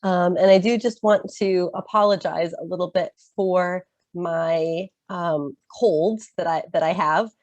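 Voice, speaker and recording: A woman's voice: fairly low pitch, steady tone, unhurried, few pauses, darkish tone, no echo. A woman in her thirties from Chicago with an American accent, presenting an informational webinar while recovering from a cold, with frequent um fillers. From Chicago, USA. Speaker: study-abroad advisor, webinar presenter.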